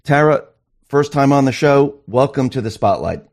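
A man's voice: medium pitch, lively intonation, normal speed, darkish tone. A man hosting an interview show, welcoming guests in an upbeat, emphatic way. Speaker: show host.

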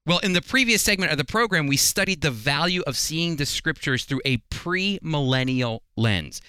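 A man's voice: a little high, animated, normal speed, sharp tone, clear, resonant tone, no echo. A man hosting a religious radio program, teaching the Bible in a conversational, earnest, explanatory way with emphasis.

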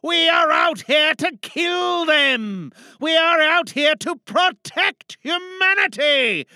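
A woman's voice: high-pitched, expressive, takes it slowly, pushed and bright, no room sound, clean studio sound, voice pushed up, full character voice. A woman playing a stern, paranoid female spaceship captain in a dramatic monologue, commanding and pleading by turns with theatrical urgency. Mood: alarmed, commanding.

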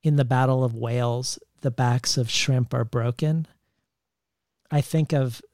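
A man who hosts a literary interview and speaks in a measured, thoughtful way, with some restarts. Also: medium pitch, steady tone, a little mellow. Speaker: interviewer, host.

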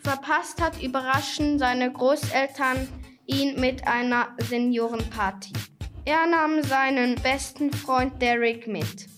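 Slow, very high young female narrator. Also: fairly even intonation. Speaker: narrator of a children's audio play. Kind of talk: scripted narration, read aloud. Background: instrumental music.

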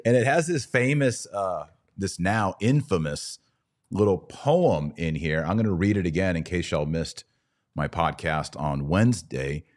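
A man's voice: sits low, expressive, a little slow, darkish tone. An American man hosting a podcast, giving conversational religious commentary with a sardonic edge. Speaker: podcast host.

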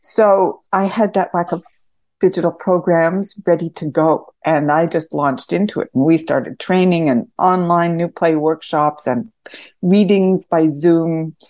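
A woman's voice: lowish voice, steady tone, a little slow, darkish tone, no room sound. A woman theatre director answering questions reflectively as an interview guest.

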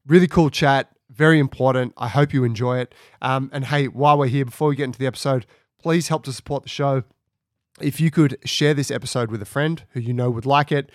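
Raspy male podcast host with a cold. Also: medium pitch, no room sound, studio quality. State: has a cold. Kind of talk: conversational podcast introduction. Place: at home.

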